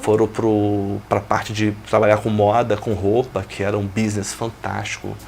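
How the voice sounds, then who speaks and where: A man in his forties from Brazil, a business owner talking through his career as an interview guest, in a steady, reflective way. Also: on the low side, moderate pace, vocal fry, slight background noise. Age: 40s. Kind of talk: interview, telling his life story.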